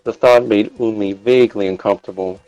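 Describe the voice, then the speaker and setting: Low-voiced man narrating a story. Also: fairly even intonation, fairly quick, dark tone, no echo, faint music behind, rough recording. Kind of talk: scripted narration of fan fiction.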